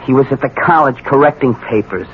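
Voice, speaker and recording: Actor as evasive young son, muffled old radio. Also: male voice, medium pitch, brisk, natural voice. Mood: defensive. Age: young adult. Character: guilty, evasive young son, defensive of his father. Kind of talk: vintage radio drama acting. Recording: dull old radio broadcast with faint noise.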